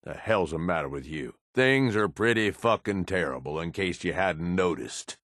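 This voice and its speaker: Game companion role: gruff American raider, middle-aged, low-pitched, cynical yet loyal, rough drawling slang, close dry sound. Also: male voice, lively intonation, normal speed, fairly bright tone, no room sound. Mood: angry, scolding. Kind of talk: video game companion dialogue.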